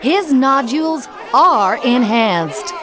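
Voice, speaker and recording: A woman's voice: sits high, lively intonation, slow, a crowd in the background. An actress playing a sensational TV news anchorwoman in a radio satire, gushing and theatrically scandalised in mock news commentary. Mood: scandalised, dramatic.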